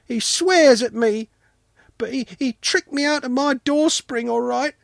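A man's voice: very high-pitched, a little slow, vocal fry, no room sound, voice pushed up, full character voice. A man voicing an indignant, wailing small boy in a storybook. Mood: offended, wailing. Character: pop-eyed small boy, wailing and deeply offended. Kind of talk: storybook character reading.

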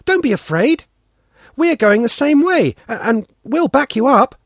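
A man doing a kind young girl, high-pitched. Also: sing-song, measured pace, no echo, clean studio sound, heavily acted. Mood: encouraging. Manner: high, encouraging.

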